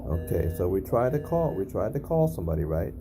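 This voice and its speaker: A man's voice: sits low, sing-song, a little fast, music in the background. A man giving a casual on-site demonstration, matter-of-fact and repetitive, often checking in with short tag questions. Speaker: narrator documenting an intercom test. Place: at a building's entry intercom panel.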